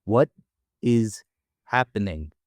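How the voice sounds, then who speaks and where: A man voicing a confused, desperate young man in an audio story, halting and pleading. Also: fairly low pitch, lively intonation, no room sound, studio quality, voice pushed down.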